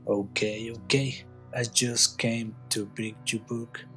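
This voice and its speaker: A man's voice: medium pitch, little pitch movement, slow pace, a bit bright, soft background music. A young man acting a worried friend, speaking in a hesitant, easygoing way in a small room. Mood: concerned. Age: young adult.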